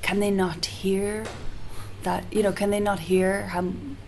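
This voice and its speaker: A soft-spoken woman being interviewed as a singer-songwriter, talking in a casual, hesitant way with frequent restarts. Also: medium pitch, flat delivery, unhurried. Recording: noticeable background hiss.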